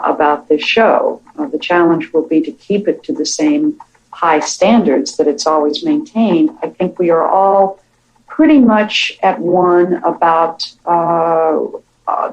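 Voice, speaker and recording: A woman's voice: lowish voice, slow pace, a little mellow, poor audio. A woman speaking as a television writer in a reflective interview, choosing her words carefully and hesitantly.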